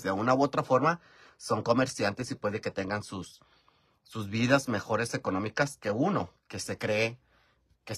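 A middle-aged Mexican man with a lowish, slightly husky voice tells a long, meandering story, full of fillers and casual swearing. He talks straight into a close phone camera in a room at home. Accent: Mexican, from Michoacán. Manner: chatty, rambling, frequent fillers, swears casually. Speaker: drag performer vlogger. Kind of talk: casual storytelling while doing makeup. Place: bedroom at home, indoors.

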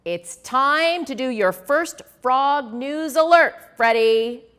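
A woman's voice: high voice, lively intonation, takes it slowly, fairly bright tone, clean tone, voice pushed up, exaggerated voice. A woman voicing a concerned, decisive female frog newswoman in a storybook, urgent and emphatic.

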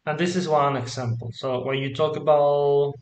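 A man from Spain, a native Spanish speaker, teaches a student over a video call. His voice sits a little high and he explains patiently, stacking up examples. The audio carries a roomy echo. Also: level tone, moderate pace. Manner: explains patiently, gives examples, checks understanding. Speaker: Spanish tutor. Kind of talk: online tutoring session.

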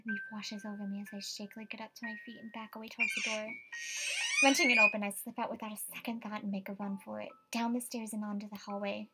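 A woman's voice: mid-range voice, fairly even intonation, fast talker, very noisy, natural voice. A woman voicing a frightened, superstitious young estate agent in a horror game, with a dramatic, tremulous delivery. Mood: terrified, praying, then panicked, agitated. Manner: dramatic, tremulous, breathy asides, outbursts. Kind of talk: horror visual novel voice acting.

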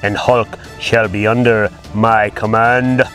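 A pompous villain warlord gloats in a low, slow voice, breaking into booming ho-ho laughs and talking about himself in the third person, as a man puts him on during toy play. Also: level tone, fairly bright tone, soft background music. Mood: gloating.

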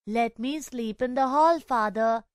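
A man's voice: very high voice, measured pace, smooth, no room sound, clean studio sound, pitched above the performer's own voice. A man voicing a frightened young schoolboy who pleads anxiously with his father. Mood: pleading.

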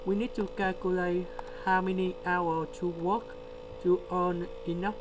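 A man with a delivery, explaining a word problem step by step in a screen-recorded lesson. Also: high voice. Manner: slow, step-by-step explaining with pauses. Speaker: tutor. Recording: steady electrical hum behind.

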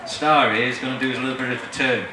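A man's voice: mid-range voice, fairly even intonation. Hesitant man, echoey and distant. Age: middle-aged. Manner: hesitant, halting. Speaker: event presenter introducing a reader. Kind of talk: informal spoken introduction to an audience. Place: large marquee tent with seated audience. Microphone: handheld mic through speakers, filmed from afar. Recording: echoey PA, distant, crowd noise.

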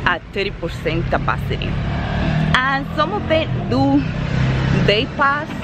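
A woman's voice: high voice, big pitch swings, measured pace. A woman, chatting and correcting herself as she films a walking tour on a busy street, phone held at arm's length. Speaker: travel vlogger. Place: busy street outdoors beside traffic. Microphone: phone camera at arm's length. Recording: loud traffic noise and music behind. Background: passing cars and music.

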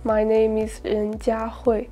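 Teen girl from china introducing herself, flat mellow voice, bit high, music faintly behind. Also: takes it slowly. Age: teens. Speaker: student. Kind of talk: prepared self-introduction. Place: studio set with flags. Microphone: lapel mic.

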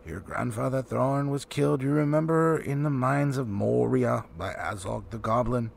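A man's voice: medium pitch, normal speed, gravelly. A man voicing a stern, testy old male wizard from a storybook, speaking with grim emphasis and authority. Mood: testy, firm. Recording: faint background noise.

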